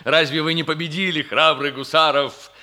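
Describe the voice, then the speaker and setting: An actor playing an emotional, big-hearted older hussar major in theatrical 1960s radio comedy style, effusive and exclamatory. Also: male voice, a little high, fairly even intonation, normal speed, sharp tone. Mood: genial, gallant. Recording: 1960s radio play with music.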